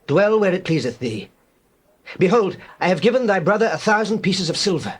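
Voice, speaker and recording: A man playing an aggrieved biblical king in a dramatized scripture reading, husky and declamatory. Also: high voice, very animated, a little fast, exaggerated voice. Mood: formal, conceding. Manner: impassioned, declamatory. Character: Abimelech, aggrieved male king, pleading and indignant.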